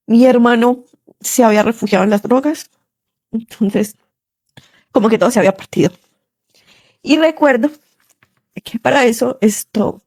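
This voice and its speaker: A woman's voice: a little high, vocal fry, a little mellow, dry recording, clean studio sound. A young woman with a Colombian accent shares a personal testimony in an earnest, conversational way. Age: young adult. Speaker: listener sharing a testimony.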